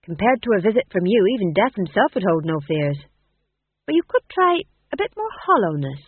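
A man's voice: high voice, big pitch swings, a little fast, breathy edge, no room sound, heavily acted. A man voicing a kindly, encouraging hunchbacked stage actor in a comic fantasy audiobook. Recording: narrow, tinny phone-like sound.

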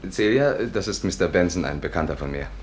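An actor playing a brisk, placating young boyfriend with schemes, in a 1950s German radio play. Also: male voice, low-pitched, animated, a little hiss or hum.

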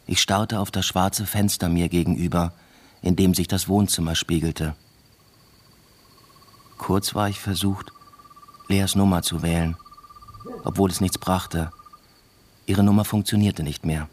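A man's voice: low voice, steady tone, unhurried, long pauses. An actor playing a brooding academic father who narrates his own story in a radio drama, subdued and introspective. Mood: subdued, pensive. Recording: music bed behind the voice.

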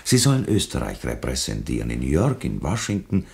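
An actor playing a patronising, oily government official in a radio play, gravelly, with an Austrian accent and an unctuous, cajoling manner. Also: male voice, very deep voice, huge pitch swings, a little fast, darkish tone, exaggerated voice. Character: smooth-talking, patronising male government official, insistent and oily. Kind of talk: German-language radio play dialogue.

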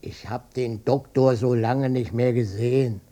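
An older man playing a stubborn, defensive widowed father in a radio drama, blunt and colloquial, with a gravelly, husky voice. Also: medium pitch, fairly even intonation, measured pace, warm tone, lightly acted. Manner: blunt, colloquial, swinging between offhand and touchy. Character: gruff widowed old father, stubborn, defensive, matter-of-fact.

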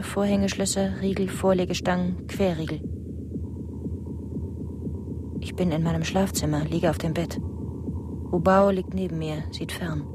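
An actress playing a tense, suspicious wife, narrating a radio drama in clipped, insistent fragments. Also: female voice, mid-range voice, close to an everyday voice. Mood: fearful. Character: tense, suspicious wife convinced her husband kills.

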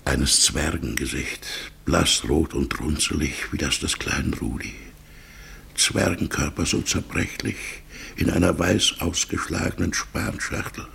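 An actor playing a brooding, mild middle-aged man in a German radio play, halting and murmuring, with a husky, creaky voice. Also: extremely low pitch, fairly bright tone, slight background noise, exaggerated voice. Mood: mournful. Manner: halting, murmuring, drifting into inward monologue.

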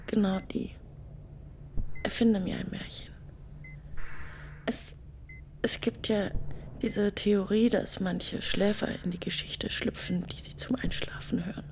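A woman playing a lonely astronaut drifting off to sleep, talking softly and half-whispering in a searching way. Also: medium pitch. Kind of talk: German radio drama acting.

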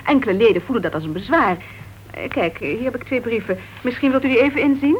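An actress playing a precise, polite committee member who raises objections in a radio play. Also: female voice, a little high, fast talker, natural voice. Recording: dull, faintly noisy vintage radio recording.

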